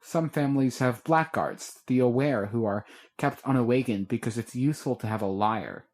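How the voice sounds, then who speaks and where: A man voicing Matthew, a weary, guarded young man who explains things in a measured way. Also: medium pitch, a little mellow, lightly acted. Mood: earnest.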